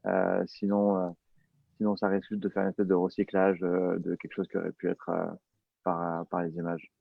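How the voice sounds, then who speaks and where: A man's voice: low voice, monotone, moderate pace, creaky, dry recording. A man speaking as a podcast producer in a panel discussion, recorded with a dull sound. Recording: dull, dry lo-fi microphone sound.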